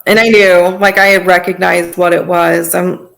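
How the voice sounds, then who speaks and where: Woman with a creaky, gravelly voice. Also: fairly low pitch, little pitch movement, normal speed, a bit bright. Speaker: podcast guest. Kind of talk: personal testimony, conversational storytelling.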